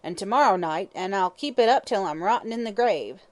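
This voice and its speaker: Woman doing a croaking drunken old hag. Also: medium pitch, expressive, moderate pace, pitched above the performer's own voice, natural voice. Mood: surly. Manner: croaking, snarling, coarse slang. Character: vicious drunken old female hag fortune-teller.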